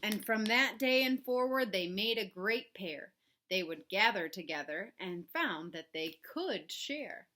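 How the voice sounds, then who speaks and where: A woman reading a picture book aloud for young children, expressive and dramatic, with chatty asides to her listeners. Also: medium pitch, a little slow. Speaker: children's read-aloud presenter. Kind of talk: animated storybook read-aloud for young children.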